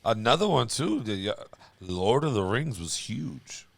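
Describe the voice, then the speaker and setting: A man's voice: fairly low pitch, animated, a little slow, vocal fry, no echo. A man co-hosting a podcast, chatting casually in slang and tossing questions to the others. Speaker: podcast co-host.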